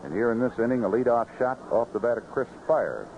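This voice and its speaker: An American man calling baseball play-by-play live on the radio in clipped phrases, in an animated manner. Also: medium pitch, fairly quick. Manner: animated calls rising sharply on big plays, clipped phrases. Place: ballpark broadcast booth. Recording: noisy, muffled vintage AM radio broadcast.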